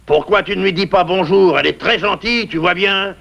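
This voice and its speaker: A man playing a gruff, quick-tempered but doting father bear in a dubbed cartoon, blustering and grumbling. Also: high voice, sharp tone, full character voice. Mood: doting, coaxing. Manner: blustering, grumbling, halting when unsure. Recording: muffled old VHS cartoon with music.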